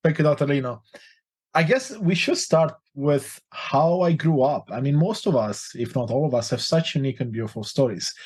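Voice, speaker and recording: Husky earnest Romanian-born man, 40. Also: a little high, animated, normal speed, a little mellow. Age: 40s. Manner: earnest, open and emotional. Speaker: guest life coach. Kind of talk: reflective interview storytelling.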